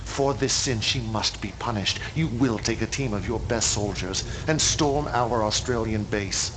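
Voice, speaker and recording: An actor playing a charismatic, menacing male cult leader in a game cutscene, speaking in a measured, commanding way. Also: lowish voice, background noise, natural voice. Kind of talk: theatrical game cutscene acting.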